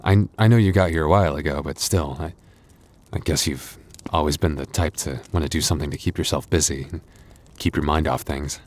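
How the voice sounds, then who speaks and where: A man playing a gentle, anxious young werewolf boyfriend in an intimate audio roleplay, speaking softly and haltingly. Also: very deep voice. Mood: tender. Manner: intimate, hesitant, soft-hearted, halting.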